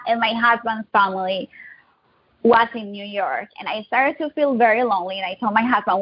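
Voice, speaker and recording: A woman in her thirties from Colombia with a Colombian accent, telling her story as a podcast guest in a quick, chatty, upbeat way. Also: on the high side, muffled recording. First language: Spanish. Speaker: guest, digital marketing agency owner. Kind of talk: casual interview storytelling.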